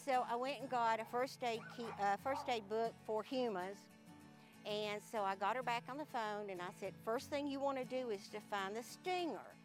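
A woman's voice: fairly high pitch, moderate pace, music in the background. Chatty Southern woman telling a story. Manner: chatty, laughs often, acts out quoted dialogue. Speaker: local interviewee. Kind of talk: casual storytelling chat.

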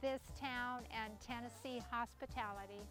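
A woman's voice: on the high side, fairly even intonation, normal speed, music in the background. A woman hosting a casual interview, effusive and encouraging as she prompts her guests for stories. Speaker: host.

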